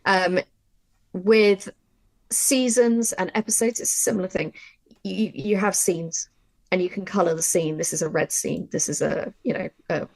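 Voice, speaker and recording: Woman, workshop presenter, informal and animated explainer. Gravelly edge to the voice, very dry recording. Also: on the low side, moderate pace, no echo, poor audio. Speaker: audio drama writer and workshop presenter.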